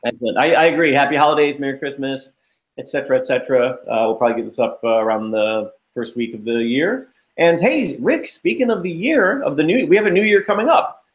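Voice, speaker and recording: A man with a mid-range voice leads a relaxed chat, prompting his co-host with dry, mock-formal asides. His delivery is easygoing and a little teasing. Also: medium pitch, a little fast, rough recording. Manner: jokey, lightly formal, interviewing. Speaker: podcast co-host. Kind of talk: casual chat.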